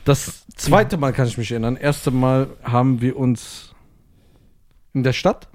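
A man's voice: medium pitch, unhurried, a little mellow. A man co-hosting a casual podcast, teasing his guest with slangy jokes. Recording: faint background noise.

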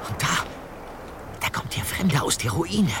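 An actor playing a cautious, observant young detective companion in a German audio drama, speaking in a hushed, watchful way. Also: male voice, a little high, sing-song, measured pace, noisy background. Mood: hushed alarm. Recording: distant voice in loud outdoor ambience.

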